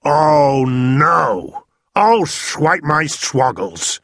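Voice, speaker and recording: A man voicing a big, kindly, excitable male giant who mangles his words. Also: a little high, very slow, no room sound, studio quality, pitched above the performer's own voice, exaggerated voice. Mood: anguished. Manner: jumbled words, broad rustic lilt.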